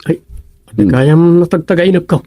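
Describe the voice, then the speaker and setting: Man playing a husky, gravelly Ilocano village talker. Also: a little high, normal speed, dark tone, no echo. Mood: eager, chatty. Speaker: radio drama actor. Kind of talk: comedic radio serial dialogue.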